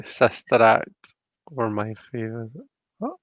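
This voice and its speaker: Brief: Scottish male live-stream host, slow chatty delivery with creaky voice, reading comments and musing between chuckles. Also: medium pitch, lively intonation, no echo, lo-fi sound. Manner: chatty, hesitant, often chuckling.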